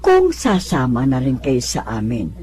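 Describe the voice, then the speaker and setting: A woman's voice: rumbling low, huge pitch swings, a little slow, a little mellow, heavily acted. An actress playing an emotional, earnest poor neighbour woman, an ailing former drinker, in a radio drama. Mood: earnest conviction.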